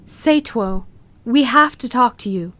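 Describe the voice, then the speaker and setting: Woman as young female creature, a caring and questioning pal, fairly high voice, audio story acting. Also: lively intonation, a little slow, a bit bright. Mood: worried. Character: concerned, questioning young female Zoombini friend. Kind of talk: audio drama character acting.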